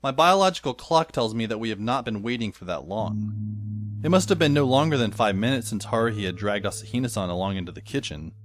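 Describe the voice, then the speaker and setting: A man's voice: on the low side, animated, fairly quick, close to an everyday voice. A man playing a wry, brooding teenage schoolboy who narrates his own story in an audio drama with dry, sardonic asides. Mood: wry, pondering. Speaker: lead actor and narrator. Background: faint background music.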